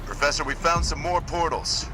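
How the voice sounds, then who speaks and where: An actor playing a disciplined, stoic armored soldier who speaks in terse military phrases in a game cutscene. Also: male voice, on the high side, expressive, normal speed, natural voice. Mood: steady, alert. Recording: loud vehicle and ambient noise.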